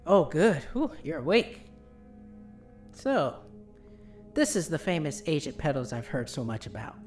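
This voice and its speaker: Earnest male agent for pony audio drama, played by a man. Courteous, formal, very lively intonation over clear music. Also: a little high, unhurried. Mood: polite.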